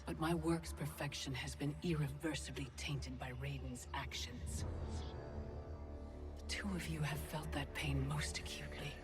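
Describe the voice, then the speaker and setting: A woman playing an ancient goddess of time in a video game, speaking in a measured, formal, portentous and coldly commanding way. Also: deep voice, steady tone. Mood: grand, commanding. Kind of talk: theatrical video game cutscene acting. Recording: loud music under the voice.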